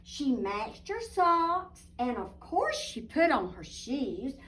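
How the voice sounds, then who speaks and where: A woman reading a picture book aloud expressively, deliberate and emphatic, with little asides to young listeners. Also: sits high, very animated, fairly bright tone. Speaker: children's storybook reader, teacher. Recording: distant microphone.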